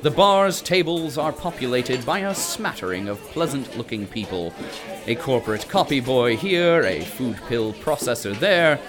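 A man's voice: mid-range voice, lively intonation, moderate pace, music in the background. Wry, theatrical male narrator. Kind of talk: scripted dramatic narration.

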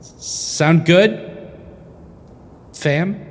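An actor playing a mild, earnest young priest in a comedic audio drama, polite and hesitant, trying to sound cool. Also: male voice, on the high side, very slow. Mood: awkwardly upbeat. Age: young adult.

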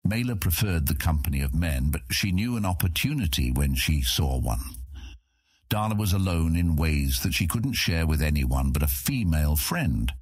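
A man's voice: a bass voice, very animated, normal speed, a little mellow, breathy edge, no echo, studio quality. A man reading scripted fantasy narration as an audiobook narrator.

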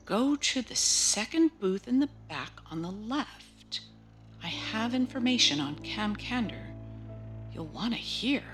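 A woman playing the reader of a secret informant's note, in a hushed, dramatic audio drama style. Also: fairly high pitch, lively intonation, measured pace, faint music behind. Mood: secretive.

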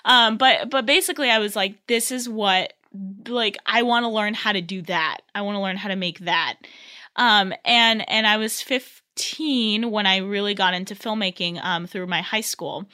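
A woman's voice: mid-range voice, moderate pace, sharp tone. A woman in her twenties from Southern California, a film director chatting casually as an interview guest, laughing often and talking with many fillers. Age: 20s.

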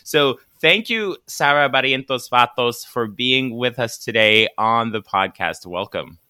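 A man hosting a teaching podcast, upbeat and chatty, his voice a little hoarse from shouting the night before. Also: mid-range voice, a little slow, no echo, clean studio sound. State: raspy voice from shouting at a school dance. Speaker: podcast host. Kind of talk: podcast interview.

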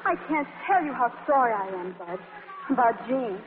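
A woman's voice: fairly high pitch, expressive, normal speed, close to an everyday voice. A woman playing a tearful lovestruck teen girl. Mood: shy. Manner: breathless, wistful, quavering. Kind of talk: 1940s radio acting. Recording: tinny old radio broadcast with hiss.

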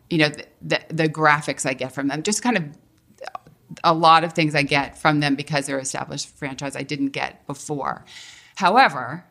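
A woman's voice: low-pitched, creaky, a bit bright. A middle-aged woman, a franchise owner, talking casually as a podcast guest with lots of fillers and restarts. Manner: hesitant, many fillers and restarts. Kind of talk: casual interview talk.

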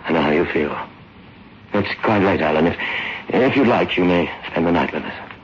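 An actor playing a grave, controlling, secretive brother in an old radio horror drama, deliberate and ominous. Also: male voice, deep voice, natural voice. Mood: solemn, confiding. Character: grave, secretive, controlling brother guarding his afflicted sister. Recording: muffled, noisy old radio recording.

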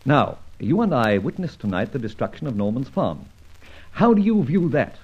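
A man playing a suave, wry gentleman adventurer in an old radio drama, urbane and wordy, with a drily witty manner. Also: medium pitch, big pitch swings, normal speed, breathy edge. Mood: brisk, amused. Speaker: narrator and lead actor. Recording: dull old radio recording.

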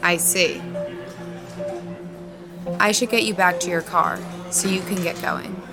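Audio drama role: guarded, questioning woman, lowish voice, music underneath. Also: steady tone, slow pace. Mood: curt. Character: guarded, challenging woman, loyal daughter.

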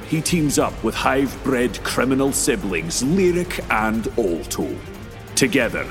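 A man's voice: medium pitch, long reverb tail. A man narrating a podcast trailer in a grave, portentous manner. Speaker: promo announcer.

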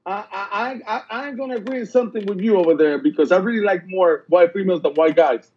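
A man from Puerto Rico with a high, rough and husky voice speaks earnestly in casual podcast talk. He circles back and repeats phrases to press his point, on a somewhat dull recording. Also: fast talker. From Puerto Rico, raised in the mainland United States. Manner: repeats himself, earnest, insistent.

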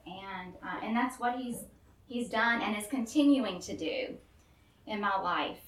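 A woman's voice: mid-range voice, expressive, unhurried. Woman, thirties, giving a testimony to a live audience, nervous and earnest, recorded from a distance in a roomy space. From Memphis, Tennessee, US. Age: 30s. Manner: nervous, earnest, laughs often. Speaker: guest storyteller. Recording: roomy and distant with faint room noise.

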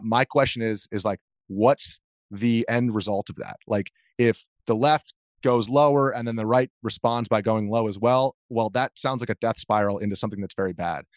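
A man's voice: mid-range voice, fairly even intonation, normal speed, dry recording, studio quality. A man with an American accent answering interview questions conversationally, explaining himself earnestly with hesitant phrasing. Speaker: interview guest, journalist.

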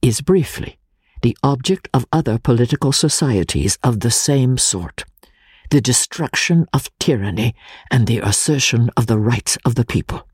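Audiobook role: intense Italian political refugee performed by a man, solemn and oratorical, heavily breathy-rough voice, close dry sound. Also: mid-range voice, lively intonation, moderate pace, a little mellow, no echo. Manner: grave, impassioned, oratorical. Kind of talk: dramatic audiobook reading.

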